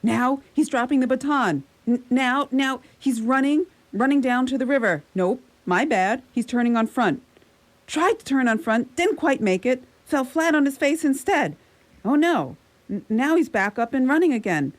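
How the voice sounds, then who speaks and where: A woman playing an excitable onlooker in a radio play, chattering and stammering through a running commentary. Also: high voice, normal speed. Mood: excited commentary. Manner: chattering, stammering, astonished asides. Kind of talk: radio theatre acting.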